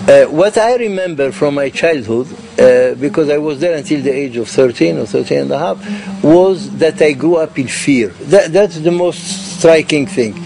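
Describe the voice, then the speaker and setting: A man from Morocco giving an explanatory documentary interview, haltingly repeating words as he goes. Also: fairly high pitch, lively intonation, creaky, mellow, soft background music, rough recording.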